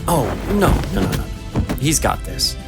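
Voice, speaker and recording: An actor playing a wry, smooth-talking mentor from a magical order in a fantasy audio drama. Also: male voice, fairly high pitch, big pitch swings, measured pace. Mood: playful, breezy. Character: glib male member of a magical order, mischievous mentor. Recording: music under the dialogue.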